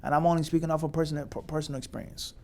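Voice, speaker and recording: A man's voice: a little high, level tone, very fast, dark tone. A man from the United States, a mufti, giving graduates an emphatic spoken lecture with long rhetorical lists and Arabic religious terms.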